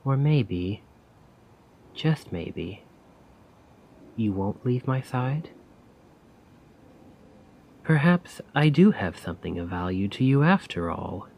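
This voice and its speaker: A soft-spoken man playing a melancholy, self-doubting poet in an ASMR roleplay, musing wistfully in formal, poetic words with a breathy voice. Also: lowish voice, very animated, slow, pauses often, a little hiss or hum, heavily acted. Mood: wistful, tender.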